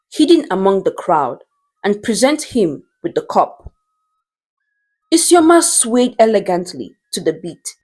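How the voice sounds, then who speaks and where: A woman reads a dramatic story as its narrator. Her pace is slow and deliberate, and her mid-pitched voice moves with lively expression through each phrase.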